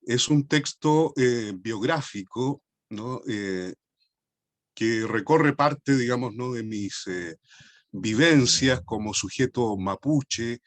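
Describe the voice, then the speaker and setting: A man with a Chilean accent, a poet opening his lecture slowly and thoughtfully, with many hesitations over a video call. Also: mid-range voice, no room sound. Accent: Chilean Spanish. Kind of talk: formal lecture introduction.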